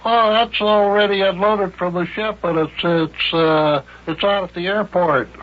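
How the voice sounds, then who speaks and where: High-voiced, goofy braggart. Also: male voice, fairly even intonation, measured pace. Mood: easygoing. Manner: folksy, bragging, chuckling. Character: goofy, boastful, folksy male pilot. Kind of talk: old-time radio comedy acting. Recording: noisy, muffled old radio broadcast.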